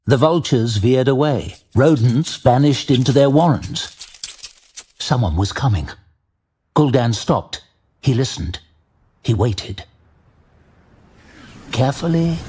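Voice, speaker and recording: A man narrating a fantasy audio drama in a measured, deliberate, suspenseful storytelling style. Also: mid-range voice, expressive, darkish tone, slight background noise. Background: music.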